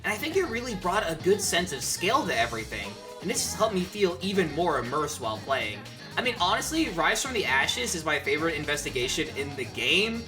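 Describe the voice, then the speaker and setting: A man's voice: a little high, sing-song, a little fast, music in the background. A man giving a casual, opinionated video essay narration on games, with some swearing. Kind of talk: casual game review narration.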